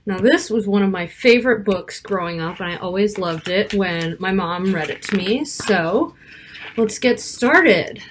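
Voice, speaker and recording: A woman reading a picture book aloud to children, expressive, with casual asides about the pictures. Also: medium pitch, unhurried, a little hiss or hum. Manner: child-directed, expressive, stretching key words and pointing out pictures.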